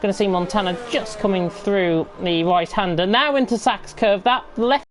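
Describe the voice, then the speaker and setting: A woman commentating live on an online racing league, croaky with a flu and rambling with frequent self-corrections. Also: medium pitch, normal speed. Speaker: race commentator.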